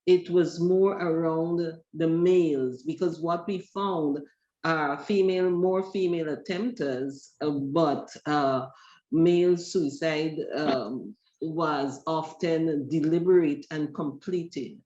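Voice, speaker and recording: Slow, measured woman researcher, echoey call. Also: sits low, a little mellow. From Guyana. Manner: measured, deliberate. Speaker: researcher presenting. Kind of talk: academic webinar presentation. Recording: echoey, dull call audio.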